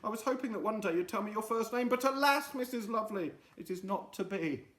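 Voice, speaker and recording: A man voicing Friday, an excitable, lovestruck young man in a storybook, with a high, theatrical, wailing voice. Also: fairly quick, echoey room. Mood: dramatic.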